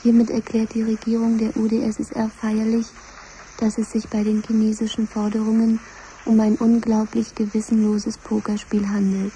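An actress playing the official computer voice of a command centre in a 1970s radio drama, formal, measured and ceremonious. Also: female voice, a little high, monotone, dark tone, a little hiss or hum, lightly acted, poor audio. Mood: solemn, official.

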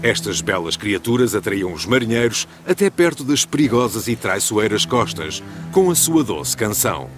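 A man narrating an animated ancient legend as a solemn storyteller, in European Portuguese with dramatic scripted delivery. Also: on the low side, moderate pace, over music.

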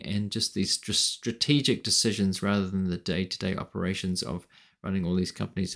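A middle-aged man hosting an investing channel, talking alone to camera at home in a measured, explanatory way. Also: on the low side, creaky. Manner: measured, explanatory, asks viewers questions. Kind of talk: solo commentary to camera. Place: room at home with window. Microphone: desk microphone in front.

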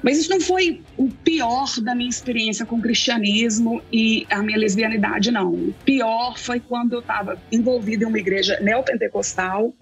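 A woman's voice: a little high, moderate pace, gravelly, hardly any pauses, soft background music, poor audio. A woman in her forties from Belo Horizonte, speaking with a Belo Horizonte accent, reflecting thoughtfully as an interview guest over a video call. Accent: Belo Horizonte Portuguese. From Belo Horizonte, Brazil. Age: 40s. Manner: thoughtful, with frequent fillers and restarts. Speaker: guest theologian.

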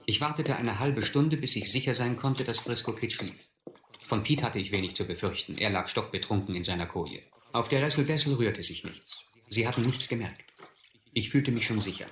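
A reflective radio play narrator looking back on boyhood adventure. His voice is fairly low and very forceful, with roomy echo. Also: slight background noise. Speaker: first-person narrator.

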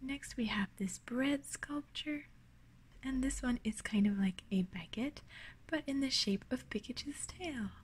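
A woman hosting an ASMR unboxing, whispering breathily and gently, sounding delighted, close to the mic at a table indoors. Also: sits high, unhurried, a little hiss or hum.

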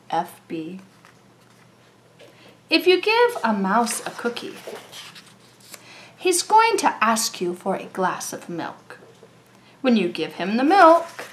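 Slow, animated woman reading a storybook. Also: mid-range voice, slight background noise. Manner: expressive, deliberate, with drawn-out words for young listeners. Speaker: storybook reader. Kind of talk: read-aloud children's story narration.

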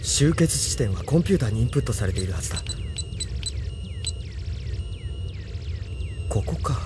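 A man's voice: mid-range voice, animated, racing, over music, close to an everyday voice. A man playing a brave, idealistic young mecha pilot in an audio drama, thinking aloud dramatically. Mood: focused. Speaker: voice actor in radio drama. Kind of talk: anime-style audio drama acting.

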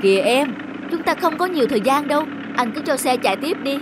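A woman's voice: high-pitched, expressive, a little fast, noisy background. A woman voicing a brusque, schedule-obsessed husband on holiday in a cartoon dub, with music underneath. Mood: insistent. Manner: brusque, insistent. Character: impatient, schedule-obsessed adult husband, bossy tourist.

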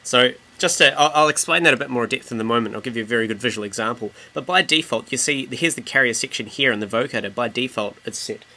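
A man from New Zealand giving a casual software tutorial as a music production tutor, informally explaining and rephrasing himself as he goes. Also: medium pitch, quick pace, hardly any pauses.

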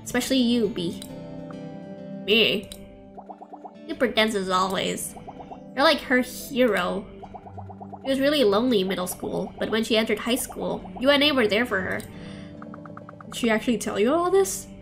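A young woman with a slightly high voice reads game dialogue aloud on a livestream. She is casual about it, stumbling, rereading and dropping in asides. Faint music plays behind her. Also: expressive, normal speed, gravelly, long pauses. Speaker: game streamer.

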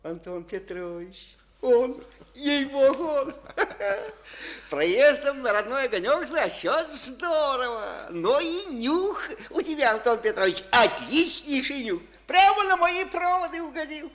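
A man's voice: extremely high pitch, lively intonation, measured pace, a bit bright, heavily acted. An actor playing a round little male office manager, ingratiating and fussy, in an old radio play. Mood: fawning. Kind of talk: Soviet radio play acting. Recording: old studio radio recording.

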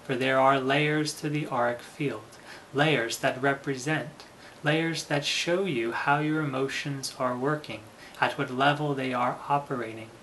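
A man teaching a guided meditation in a solemn, deliberate manner, with formal, measured phrasing. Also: medium pitch, steady tone, fairly bright tone, a little hiss or hum, rough recording.

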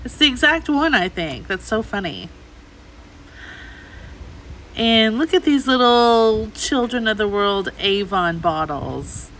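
Bright-voiced woman chatting through a shopping vlog. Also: fairly high pitch, lively intonation, slow, long pauses, slight background noise, low-quality recording. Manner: chatty, gushing over items. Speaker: host, antiques reseller. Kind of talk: casual shopping vlog commentary. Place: inside an antique mall.

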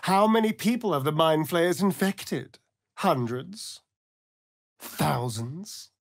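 A man's voice: on the high side, animated, slow, gravelly, no echo, heavily acted. A sardonic male vampire rogue for a fantasy video game, with an English accent. He is vain and theatrical, taking his time over words and sliding up and down between purr and outburst. The sound is dry, with no room around it. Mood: musing. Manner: arch, drawling, mocking, swinging between purr and outburst. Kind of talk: video game character voice lines.

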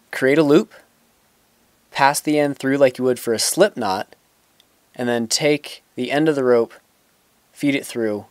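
A man's voice: medium pitch, no echo. A young man giving a plain step-by-step knot-tying tutorial outdoors, talking in an instructional way and now and then restarting a sentence. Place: outdoors on grass.

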